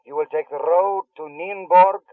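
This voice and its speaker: Coldly menacing kidnapper on tinny phone. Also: male voice, high voice, fairly even intonation, no room sound. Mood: measured threat. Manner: cold, measured, politely threatening. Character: coldly menacing male kidnapper issuing ransom instructions. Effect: telephone line. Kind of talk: radio spy thriller acting. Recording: tinny narrow phone-line sound.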